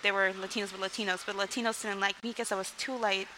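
Young woman from Mexico, interview guest, open and rambling, steady mid-range pitch, noisy recording. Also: fast talker. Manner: candid, rambling, frequent "like" and "um", laughs between sentences. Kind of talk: casual interview storytelling.

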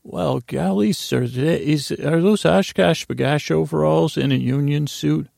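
A man voicing an earnest, overly polite city mediator who speaks in a soothing, flattering, halting way. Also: on the high side, creaky, no echo, studio quality, pitched above the performer's own voice, full character voice. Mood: polite, curious.

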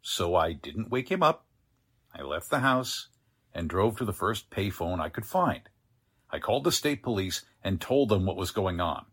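A man acting a mild, well-meaning, easily flustered doctor in a 1940s-style radio drama, husky and hesitant. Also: low-pitched, very animated, moderate pace, a bit bright, full character voice. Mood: sober, explaining.

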